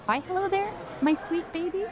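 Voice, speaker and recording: A woman's voice: very high-pitched, moderate pace. A woman playing a friendly, doting character who coos affectionately in an audio drama. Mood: cooing, affectionate. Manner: cooing, affectionate.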